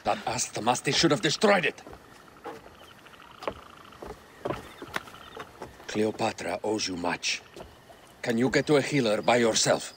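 A man's voice: lowish voice, lively intonation, normal speed, fairly bright tone, slight background noise. An actor playing a wary, authoritative male agent in an ancient Egypt video game. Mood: gruff. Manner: blunt, authoritative.

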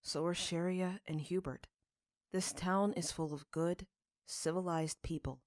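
A woman voicing a gentle, weary, earnest father in a story reading, speaking in a measured way. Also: fairly low pitch, steady tone, no room sound, studio quality, lightly acted. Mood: sincere.

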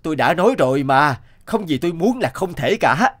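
An actor playing a proud, greedy, obsessive treasure collector in an animated fable, boastful and exclamatory. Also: male voice, a little high, brisk. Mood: smug.

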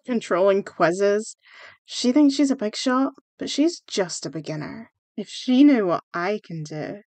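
A woman's voice: mid-range voice, animated, unhurried, darkish tone, dry recording. A woman voicing a haughty, self-assured teenage schoolgirl in an amateur comic fandub. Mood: cool, superior.

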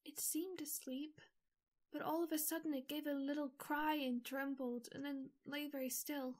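A shy, gentle teenage girl, as an actress plays her in an audio drama. Her voice is high and soft, and she speaks with a timid hush. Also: steady tone, moderate pace, close to an everyday voice. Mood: shaken. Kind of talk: amateur audio drama acting.

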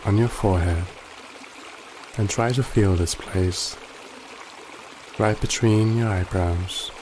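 A man guiding a body scan meditation in a calm, gently instructive way with long pauses. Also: fairly low pitch, noisy background. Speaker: meditation guide. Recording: loud running water behind the voice. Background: trickling stream water ambience.

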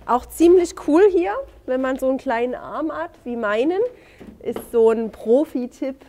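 A woman's voice: high voice, normal speed, warm tone. A woman showing a hands-on building step, chatty and playful, tossing in little sound-effect words. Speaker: tiny house builder. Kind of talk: casual hands-on demo.

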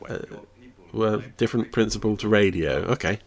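A man's voice: lowish voice, moderate pace. A man giving casual, wry, jokey commentary on a TV episode as a podcast host.

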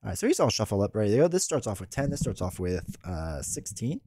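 A man in his thirties hosts in a relaxed, rambling way, and his voice is thick and stuffed up from a head cold. His pitch rises and falls a great deal as he chats through a card game, sitting close to a boom mic in a dry-sounding home studio. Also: fast, slightly rough, dry recording. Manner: chatty, rambling with fillers and restarts. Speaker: card game channel host. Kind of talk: casual gameplay commentary. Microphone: boom mic close to the mouth.

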